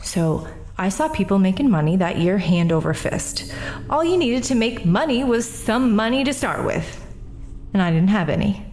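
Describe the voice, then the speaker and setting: Woman as brash young woman, defensive, slangy, mid-pitched, radio play. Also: animated, moderate pace, a little mellow, a little hiss or hum, close to an everyday voice. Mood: defiant. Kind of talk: radio drama acting.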